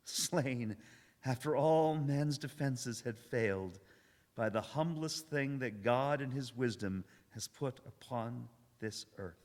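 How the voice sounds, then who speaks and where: An actor playing a haunted professor who survived an alien invasion, narrating solemnly and theatrically in a live radio drama monologue. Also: male voice, mid-range voice, darkish tone, lightly acted. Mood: reflective, solemn. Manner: solemn, theatrical, deliberate phrasing with suspenseful repetition. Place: live performance in a church hall. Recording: echoey hall, live stage recording.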